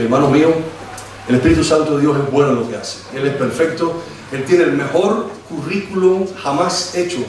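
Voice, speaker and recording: A man's voice: mid-range voice, noisy background. A man in his forties with a Cuban accent preaching a sermon and praying, talking in a hesitant, informal way with many restarts. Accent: Cuban Spanish. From Cuba. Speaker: lay preacher. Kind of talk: sermon with prayer. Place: church hall. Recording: echoey church hall, distant mic.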